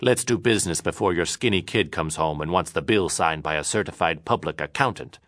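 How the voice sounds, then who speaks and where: A man voicing a blustering, bigoted meat man who talks loudly and mockingly. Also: sits low, fairly quick, no echo, clean studio sound. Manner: loud, mocking, boorish.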